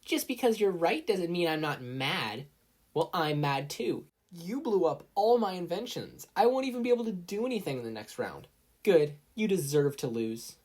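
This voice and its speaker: Narrator voicing a sulky, higher-pitched teenage girl inventor. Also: sing-song, normal speed, darkish tone. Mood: indignant.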